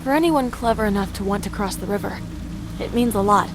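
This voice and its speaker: An actress playing a calm, resourceful young woman traveler who plans things out, in a video game cutscene. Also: medium pitch, a little fast, noisy background, natural voice. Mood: determined.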